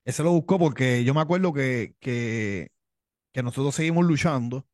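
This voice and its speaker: A man's voice: medium pitch, moderate pace, darkish tone, dry recording, studio quality. A man with a Cuban accent, a wrestler telling his story in an interview, boastful and tough, often repeating himself. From Cuba. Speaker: pro wrestler guest.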